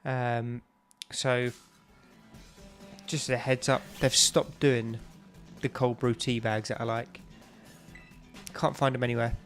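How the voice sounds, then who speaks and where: A man's voice: medium pitch, flat delivery, takes it slowly, long pauses, soft background music. A young man with a stuffy, bunged-up nose, chatting casually and rambling with lots of ums while he streams a game. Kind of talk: casual live-stream chat while playing.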